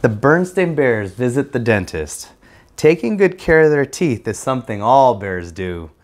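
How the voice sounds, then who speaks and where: A man's voice: medium pitch, sing-song, a little slow. A man narrating a children's storybook aloud as a guest reader.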